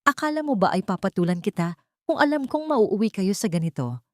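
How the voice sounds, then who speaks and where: A woman's voice: mid-range voice, very animated, fairly quick, darkish tone, dry recording, studio quality, lightly acted. A woman with a Filipino accent voicing a cold, scornful young socialite with a cutting manner in an audio story. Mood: cold contempt. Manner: contemptuous, cutting.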